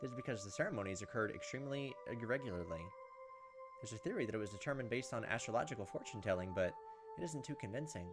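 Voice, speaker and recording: A man's voice: mid-range voice, animated, fast. A man hosting a gaming video, reading visual novel text aloud and adding his own commentary. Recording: game music and insect sounds behind.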